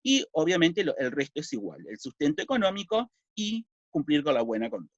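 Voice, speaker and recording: A man with a Uruguayan accent gives a civics lesson, his voice set a little high and moving up and down a great deal as he explains. He asks questions and then answers them himself. The recording is dry and a bit dull. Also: sing-song, a little fast, dry recording. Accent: Uruguayan Spanish. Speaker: civics teacher.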